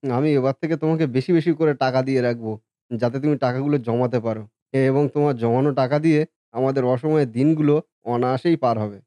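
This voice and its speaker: A man's voice: mid-range voice, a little fast, dark tone, no echo, lightly acted. A man voicing a kindly, earnest married brother and husband in a Bengali family cartoon. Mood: grateful.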